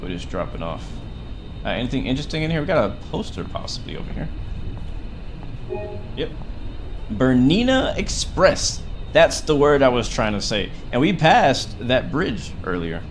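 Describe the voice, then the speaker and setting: A young man chatting excitedly and joking while live-streaming a game. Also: medium pitch, moderate pace. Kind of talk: casual live-stream commentary. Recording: game train noise underneath.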